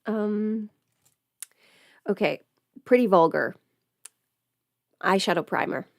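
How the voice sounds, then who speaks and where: A woman chats casually on a livestream as she gets ready. Her pitch sits in a middle range for a woman, and she rambles, breaking off to think aloud. The sound is close and dry, with very little room on it. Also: slow pace, no echo. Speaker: livestreamer chatting with viewers.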